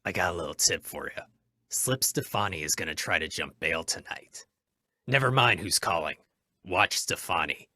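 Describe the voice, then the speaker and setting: A man's voice: deep voice, lively intonation, moderate pace, pushed and bright, no room sound, full character voice. A man acting a terse, insistent anonymous tipster in an old-time radio crime drama. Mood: terse, secretive.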